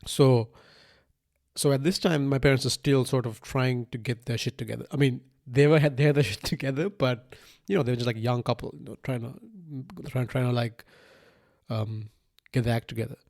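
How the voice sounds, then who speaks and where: Sri lankan guy in his 30s, native sinhalese speaker, rambly solo podcast chat, mid pitched, lots of like and restarts. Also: normal speed, gravelly, darkish tone. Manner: rambling, repeats phrases and restarts, often says "like" and "right?". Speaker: podcast host. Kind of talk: casual unscripted solo monologue.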